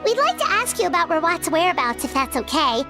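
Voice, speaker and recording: A woman voicing a small, childlike fairy companion in a video game, chirpy and cheeky with a squeaky tone. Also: big pitch swings, fairly quick, over music, full character voice. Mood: polite, chirpy. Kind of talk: video game character acting.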